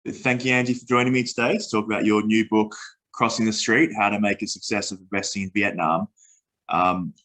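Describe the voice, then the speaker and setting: A man hosting a finance podcast, reading a brisk intro and asking questions. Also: lowish voice, fairly bright tone, low-quality recording. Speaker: podcast host.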